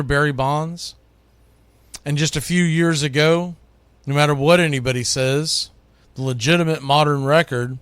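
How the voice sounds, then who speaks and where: Male sports radio host, slightly high voice, casual countdown monologue, opinionated, emphatic, dry close recording. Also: fairly bright tone, clean tone, dry recording.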